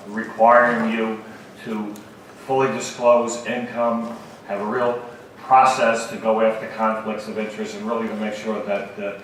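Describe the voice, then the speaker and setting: A hoarse, raspy middle-aged man speaks slowly and pauses often, his somewhat low voice heard from well back in the room. A heavy echo fills the hall. A faint hiss runs under everything. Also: fairly bright tone. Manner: measured, many "uh" pauses. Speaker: state senate candidate. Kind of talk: campaign speech at a forum. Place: campus event hall. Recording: very echoey hall from far off.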